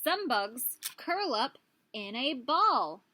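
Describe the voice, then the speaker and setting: Woman, bright high voice, unhurried, children's read-aloud, teacherly prompting. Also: sing-song, a little hiss or hum. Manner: speaking to young children, prompting with questions. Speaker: preschool teacher. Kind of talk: children's picture book read-aloud with questions and asides.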